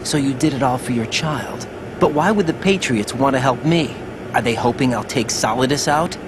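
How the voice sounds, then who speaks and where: A man's voice: mid-range voice, lively intonation, moderate pace, background noise, natural voice. Earnest, puzzled young male agent voiced by a man. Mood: probing. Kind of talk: dramatic video game cutscene acting. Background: music.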